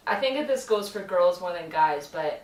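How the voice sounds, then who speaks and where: Young woman, vlog co-host, relaxed and laughing; roomy echo, mic set back. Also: fairly low pitch, normal speed. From Canada. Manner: easygoing, laughs between lines. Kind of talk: casual chat. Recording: echoey room, mic at a distance.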